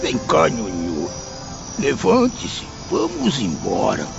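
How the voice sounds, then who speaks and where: Creaky, slow old Brazilian slave acted by a man. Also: medium pitch, wildly swooping pitch, heavily acted. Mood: compassionate. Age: older. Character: gentle, compassionate old male slave. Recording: dull lo-fi audio with music behind.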